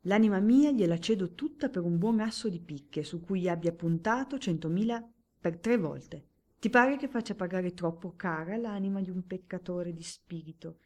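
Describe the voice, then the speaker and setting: A woman reading aloud and voicing a ruined male baron, a reckless gambler, with loud, scornful, theatrical speech. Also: fairly low pitch, normal speed, lightly acted. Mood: defiant. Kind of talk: audiobook character voice.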